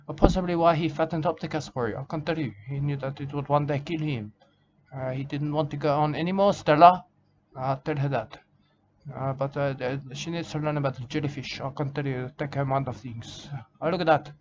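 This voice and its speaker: A man rambling through a halting comic monologue in broken grammar, filling it with "mate" and "I can tell you". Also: a little high, darkish tone, dull audio, a little hiss or hum. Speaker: comedic character monologue. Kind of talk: rambling comic monologue as a nature-documentary style character.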